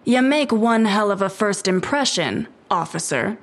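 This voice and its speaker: A woman's voice: mid-range voice, lively intonation, measured pace. A snippy lady neighbor, sarcastic, won't back down, mid-range voice, audio drama. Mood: sarcastic, defiant. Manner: sarcastic, defensive. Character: defiant, sharp-tongued female neighbor and dog owner.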